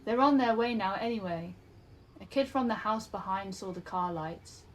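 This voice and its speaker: An actress playing an eerily calm, taunting young woman in an audio drama, with a measured, needling manner. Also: medium pitch, natural voice. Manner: measured, cryptic, needling questions. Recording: music behind.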